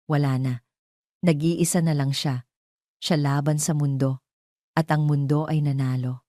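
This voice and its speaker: A woman's voice: sits low, fairly even intonation, measured pace, mellow, dry recording, clean studio sound. A woman reading scripted narration for an audio drama.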